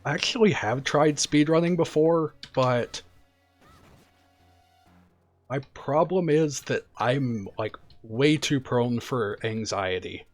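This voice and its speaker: A man streaming a video game, talking casually and quietly about what he's doing in a relaxed, chatty way. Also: mid-range voice, takes it slowly, faint music behind. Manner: soft-spoken, relaxed and chatty. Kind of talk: casual gameplay commentary.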